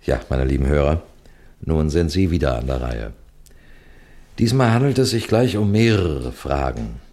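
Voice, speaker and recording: Low-voiced, shrewd senior detective. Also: male voice, animated, a little mellow. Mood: courteous, instructive. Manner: deliberate, didactic, courteous. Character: shrewd, composed senior male police detective, narrating his case. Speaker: detective and narrator addressing listeners. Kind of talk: scripted German radio crime drama.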